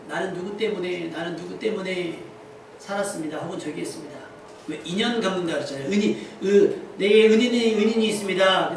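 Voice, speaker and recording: A high male voice rings through a heavily echoing church, caught at a distance from the mic. He is a pastor delivering a sermon, asking the congregation questions and repeating key phrases to drive them home. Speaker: pastor preaching. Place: indoor church service. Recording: very echoey church hall, distant mic, faint noise.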